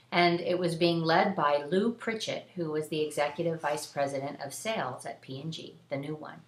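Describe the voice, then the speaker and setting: Woman telling her own story, kinda low voice, earnest and chatty, roomy echo on it. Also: a bit bright. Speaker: podcast host telling a personal story. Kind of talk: conversational first-person storytelling. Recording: echoey room.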